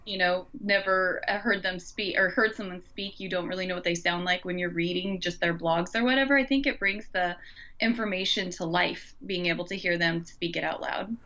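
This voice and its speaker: A woman's voice: lowish voice, normal speed, bright, forceful tone. A woman co-hosting a podcast in an informal chat, thinking aloud, on slightly dull audio. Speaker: podcast co-host.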